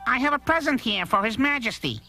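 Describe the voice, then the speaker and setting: A woman voicing a clever, confident talking male cat with a brisk, courtly, persuasive manner in a dubbed cartoon fairy tale. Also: on the high side, lively intonation, over loud music. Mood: courtly, polite.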